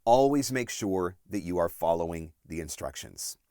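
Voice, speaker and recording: A man with a fairly low voice talks straight to the listener like a coach walking through practical tips. He is direct and emphatic, leaning on key words with deliberate phrasing. The sound is close and dry, with very little room. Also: expressive, mellow, clean tone, dry recording. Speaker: voice-over coach and voice actor giving audition tips. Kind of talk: instructional video talk to camera, numbered tips.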